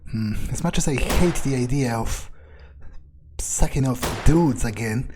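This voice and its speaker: A man's voice: medium pitch, a little slow, background noise. A man doing in-character video game commentary, thinking aloud in a blunt, muttering, profane way. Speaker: video game player role-playing his character. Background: game music.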